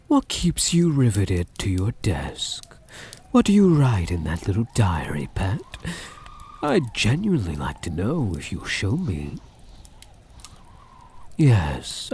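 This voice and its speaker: A man voicing a possessive, teasing vampire count in a soft-spoken ASMR roleplay, husky and breathy, with a mock-sympathetic purr. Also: fairly low pitch, full character voice. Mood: coaxing, intimate.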